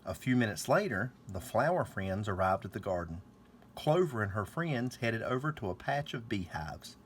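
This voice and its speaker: A man's voice: fairly low pitch, very animated, moderate pace, a little hiss or hum. A man reading aloud a children's storybook in a scripted narration. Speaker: storybook reader.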